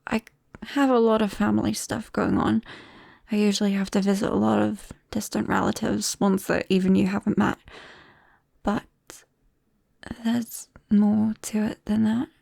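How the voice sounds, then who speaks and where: A British woman playing a nervous, tender vampire best friend in an ASMR roleplay, speaking softly and haltingly with drops into whispers. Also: medium pitch, flat delivery, gravelly, no room sound, clean studio sound. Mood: uneasy, confiding. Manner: hesitant, halting, breaking into whispers. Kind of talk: ASMR roleplay, soft intimate acting. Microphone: close microphone, dry.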